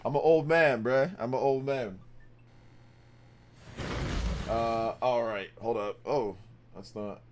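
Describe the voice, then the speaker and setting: A man's voice: mid-range voice, takes it slowly, a little hiss or hum. A man in his fifties hosting a casual gaming livestream, rambling and correcting himself as he talks to chat, laughing often. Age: 50s. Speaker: livestream host.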